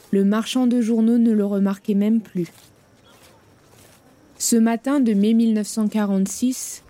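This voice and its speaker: A woman narrating an audio drama in a measured, grave storytelling manner. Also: mid-range voice, warm tone.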